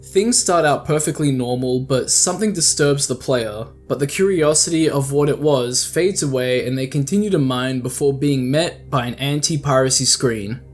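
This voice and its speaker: A man's voice: mid-range voice, moderate pace, few pauses. A young adult man delivering casual scripted video commentary in an earnest, encouraging, informal way. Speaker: YouTube video narrator. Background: music.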